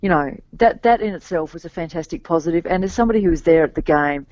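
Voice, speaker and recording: A woman co-hosting a sports podcast, talking casually and a bit hoarse from cheering, with many restarts and fillers. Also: on the low side, fast, darkish tone, dry recording. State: slightly scratchy voice from cheering. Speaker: podcast co-host. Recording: dull, dry lo-fi podcast mic.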